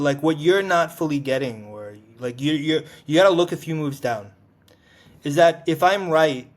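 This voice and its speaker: A man in his forties co-hosting a casual podcast chat, talking emphatically with lots of "like" and some swearing. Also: a little high. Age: 40s. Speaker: podcast co-host.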